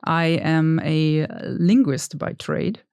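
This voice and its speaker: A woman's voice: deep voice, slow, darkish tone, clean tone, dry recording. Woman on a dry close mic. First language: German. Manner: thoughtful, hesitant, self-deprecating. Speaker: podcast guest. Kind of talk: casual interview chat.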